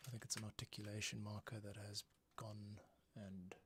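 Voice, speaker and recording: A man's voice: lowish voice, flat delivery, moderate pace, fairly bright tone, a little hiss or hum. A man thinking aloud in a casual way as he works through a music production stream, often muttering to himself. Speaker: composer hosting a live music production stream. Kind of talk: casual think-aloud tutorial while working. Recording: music playing behind the voice. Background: score playback and computer keyboard clicks.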